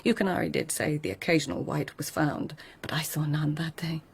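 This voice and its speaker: An actress playing a proud, witty lady-in-waiting of tenth-century Japan in a radio drama, hushed and measured, with formal poetic phrasing. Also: deep voice, steady tone, full character voice. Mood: wry, poetic. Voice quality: breathy, hushed. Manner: measured, courtly, pointed.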